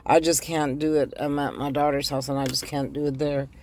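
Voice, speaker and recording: Raspy-voiced woman chatting from her car. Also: low-pitched, little pitch movement, fairly quick, darkish tone, slight background noise. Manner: chatty, addresses viewers directly, hesitant ums. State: raspy voice after the flu. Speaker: tarot reader. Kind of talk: casual tarot reading to camera. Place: inside a car. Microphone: phone held in one hand.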